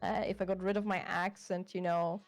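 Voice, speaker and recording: A woman's voice: fairly low pitch, level tone, brisk, soft background music. A woman from Sweden with a Swedish accent, a VTuber guest chatting casually, reflective and self-conscious, laughing easily. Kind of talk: casual chat.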